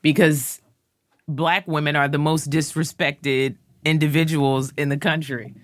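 A woman speaking as an interview guest, animated and expressive. She lifts her voice high whenever she quotes or plays a line, then settles back down. The sound is dry and close, with no room around it. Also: a little slow, no room sound. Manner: expressive, acts out lines and quotes with rising pitch. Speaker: actor and musician guest.

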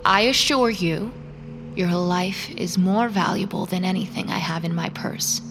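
An actress playing a cool, threatening woman in an audio drama, speaking in a measured, formal way. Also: on the low side, noisy background. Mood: cold warning.

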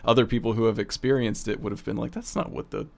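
A man talking casually as an audio producer in a relaxed-format, behind-the-scenes conversation. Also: lowish voice, fast talker, creaky.

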